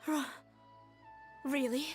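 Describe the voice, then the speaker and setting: Sorrowful young woman for a game: polite, plaintive, slightly high voice. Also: fairly even intonation, drawn-out words, soft background music. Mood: subdued, grateful. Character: sorrowful, earnest young woman grieving a lost loved one. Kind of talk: video game voice acting.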